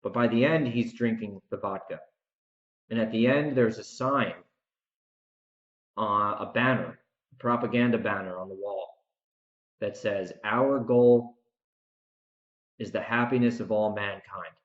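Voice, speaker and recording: Emphatic man in a muffled, echoey room. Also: fairly low pitch. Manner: emphatic, repeats words for emphasis, dramatic pauses. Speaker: podcast host and author. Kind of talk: unscripted opinionated podcast monologue.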